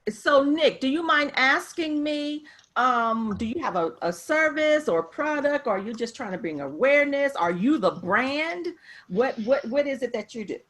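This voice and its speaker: A woman's voice: high voice, animated, normal speed, fairly bright tone. A woman teaching an interactive class over a video call as a professor, emphatic and repeating phrases for emphasis. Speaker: marketing professor.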